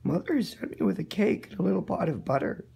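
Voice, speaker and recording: A man's voice: high-pitched, expressive, quick pace, gravelly, dark tone, slightly rough, pitched above the performer's own voice, exaggerated voice. A man voicing an innocent, cheerful little girl in a storybook, chatty and trusting. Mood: eager.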